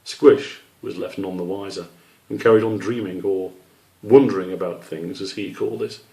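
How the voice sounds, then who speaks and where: A man reads a children's story aloud as its author. His voice is fairly low and very mellow, suited to storybook narration. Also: fairly even intonation, moderate pace, vocal fry, rough recording.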